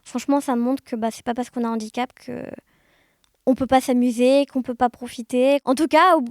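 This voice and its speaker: High-voiced woman in casual chat. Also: fairly even intonation, brisk, darkish tone, dry recording, clean studio sound. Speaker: interview guest, amateur audio fiction maker. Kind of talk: casual conversational interview.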